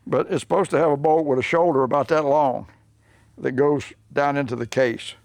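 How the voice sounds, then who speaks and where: A man's voice: a little high, steady tone, normal speed, gravelly, darkish tone. An older man with a Southern US accent, a mechanic explaining a restoration job in a plainspoken, folksy way. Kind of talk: unscripted workshop explanation. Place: workshop.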